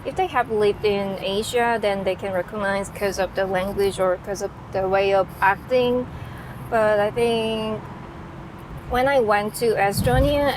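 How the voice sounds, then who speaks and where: A young Korean-accented woman speaks slowly and carefully, pausing often and searching for words between fillers. Her voice sits at an ordinary middle pitch. Traffic and street noise run clearly behind her in an outdoor recording. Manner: hesitant, many fillers and restarts, laughs lightly. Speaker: guest speaker answering class questions. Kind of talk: casual explanatory answers.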